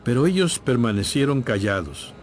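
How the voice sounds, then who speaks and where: A man giving scripted, measured and solemn narration for a dramatized audio Bible. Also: mid-range voice, very animated, a little hiss or hum.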